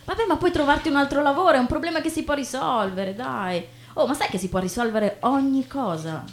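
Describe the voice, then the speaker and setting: A woman acting on stage as a chatty, naive young woman, expressive and colloquial. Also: high-pitched, brisk. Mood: curious, insistent. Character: chatty, inquisitive, self-deprecating young woman, breezily naive. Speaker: stage actress. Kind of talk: theatrical monologue and dialogue. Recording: noticeable background noise with hum.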